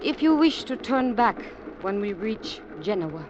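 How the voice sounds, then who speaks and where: An actress playing a grave young woman in danger, formal, quiet and earnest, in 1940s radio drama acting. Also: mid-range voice, big pitch swings, measured pace. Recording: dull old radio recording with hiss.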